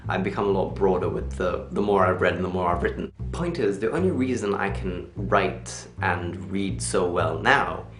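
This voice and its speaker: A young man chatting casually in a personal vlog, telling things in an animated way. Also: deep voice, normal speed, hardly any pauses. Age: young adult. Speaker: vlogger. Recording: roomy echo, music behind.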